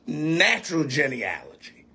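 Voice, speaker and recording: Bright, high-voiced man preaching. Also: wildly swooping pitch, a little slow. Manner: emphatic, repetitive preacher cadence. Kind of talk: preaching in conversation.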